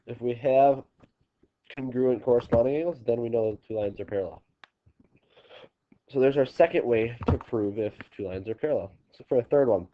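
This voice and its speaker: A man with a stuffy, congested nose, teaching a geometry lesson on video and explaining step by step with frequent ums and restarts. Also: mid-range voice. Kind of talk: recorded classroom video lesson. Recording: slightly dull lo-fi recording.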